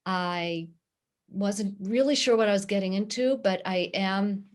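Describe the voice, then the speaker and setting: A woman's voice: medium pitch, a little slow. Earnest middle-aged woman with Pittsburgh accent. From Pittsburgh, USA. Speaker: guest, nonprofit director. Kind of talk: interview answers.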